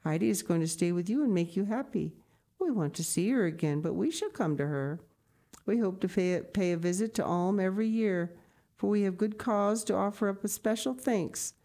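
A gracious, well-to-do lady voiced by a woman speaks in a warm, firm and reassuring way. Her voice sits a touch above the narrator's and has a mellow tone, in a storybook reading. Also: medium pitch, expressive, normal speed, voice pushed up, close to an everyday voice. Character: kindly, dignified wealthy lady, reassuring.

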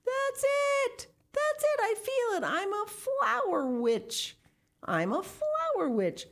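A woman's voice: on the low side, lively intonation, normal speed, darkish tone. An old woman witch from a storybook, acted out by a woman reader, proud of her garden and full of joyous wonder. Her voice swoops widely and exclaims with delight.

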